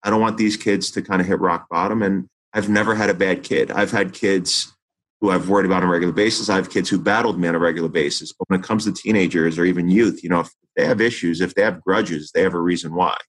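A man in his forties speaks in a very low, deep voice. He talks earnestly and reflectively about his work as a teacher, often restarting his thoughts mid-sentence. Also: fairly even intonation, quick pace, a bit bright, poor audio. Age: 40s. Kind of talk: interview conversation.

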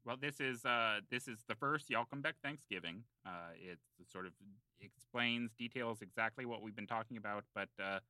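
A man's voice: on the low side, normal speed, bright, forceful tone, no room sound, poor audio. A man playing a self-serious island local who explains town lore in long, hesitant, mock-scholarly rambles on an improvised comedy podcast. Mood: earnest, rambling.

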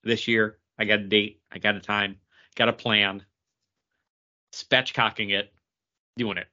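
Man, podcast co-host, sharp bright tone, casual chat, choppy phrasing, dull audio. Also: on the low side, flat delivery, measured pace.

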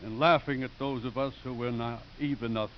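An actor playing a feisty, righteous elderly priest in a 1950s radio drama. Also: male voice, mid-range voice, fairly even intonation, moderate pace, close to an everyday voice. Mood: stern, hurt. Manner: wry, righteous. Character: feisty elderly priest, earnest head of a college. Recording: muffled, hissy 1950s radio broadcast.

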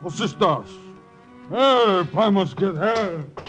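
An actor playing an anxious German prison guard in an old-time radio drama, with a German accent. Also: male voice, high-pitched, expressive, takes it slowly, a bit bright, soft background music, exaggerated voice. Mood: alarmed. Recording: vintage radio drama recording.

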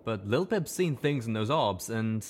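A man voicing a young male pony who speaks in a rough folksy way, strained with guilt. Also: medium pitch, lively intonation, measured pace, slight background noise, natural voice. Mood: earnest. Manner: folksy drawl, strained and emotional.

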